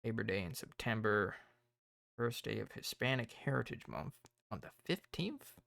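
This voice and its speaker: Croaky-voiced man thinking aloud. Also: fairly low pitch, lively intonation, moderate pace, fairly bright tone, dry recording. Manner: rambling, thinking aloud while planning. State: hoarse, tired voice. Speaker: streamer. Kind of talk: casual livestream chat.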